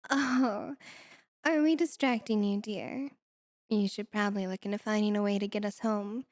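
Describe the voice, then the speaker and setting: A woman's voice: medium pitch, fairly even intonation, moderate pace, darkish tone, no room sound, studio quality, lightly acted. A woman playing a sultry, mischievous succubus demon in a flirty ASMR roleplay, with a coy, taunting delivery. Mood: coy, curious.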